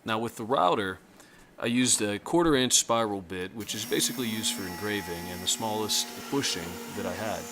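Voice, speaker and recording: A man hosting a casual woodworking tutorial in his workshop, relaxed and self-deprecating. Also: fairly low pitch, normal speed, slight background noise. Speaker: woodworking host. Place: home woodshop.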